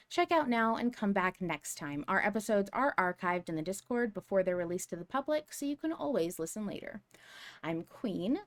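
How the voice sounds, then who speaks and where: A woman hosting a casual podcast chat in a playful, expressive way, swearing casually. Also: medium pitch. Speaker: podcast host.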